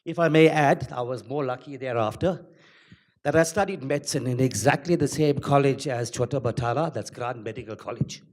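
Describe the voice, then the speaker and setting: A middle-aged man sharing personal reflections at a book launch, speaking hesitantly with many pauses. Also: medium pitch, darkish tone. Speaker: audience member sharing a reflection. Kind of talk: unscripted remarks at a book launch.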